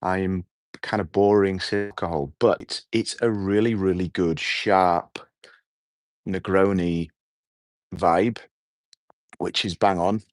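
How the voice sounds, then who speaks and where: A middle-aged man with a hoarse voice, hosting a relaxed, rambling evening livestream outdoors and chatting with his viewers. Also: deep voice, takes it slowly, long pauses, no room sound, clean studio sound. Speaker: livestream host. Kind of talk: casual evening livestream chat. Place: outdoors at night.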